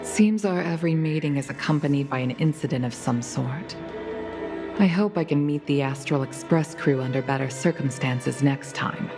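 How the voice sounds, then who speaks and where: An actress playing a composed, protective middle-aged veteran pilot mother in a video game, speaking formally and with weariness. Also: deep voice. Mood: composed, wistful. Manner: formal, measured, gracious. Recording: background music under the voice.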